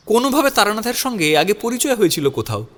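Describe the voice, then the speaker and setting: Higher-voiced, expressive male storyteller. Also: quick pace, in a large hall. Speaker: first-person narrator. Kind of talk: scripted story narration with acted dialogue.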